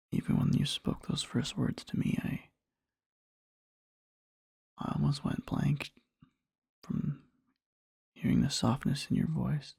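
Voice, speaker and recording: A man playing an adoring boyfriend in a soft ASMR roleplay, murmuring slowly and tenderly to a sleeping partner in a breathy, husky whisper. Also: mid-range voice, no room sound, studio quality, exaggerated voice. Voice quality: breathy, husky, with creaky fry. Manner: slow, halting, tender murmuring.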